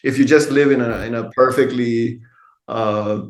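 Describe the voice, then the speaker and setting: Man, Danish accent, middle-aged, relaxed and candid, casual swearing, middle pitch, echoey room. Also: unhurried. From Denmark. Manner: laid-back, candid, swears casually, laughs often. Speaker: guest, tattoo artist and photographer. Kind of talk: casual interview conversation.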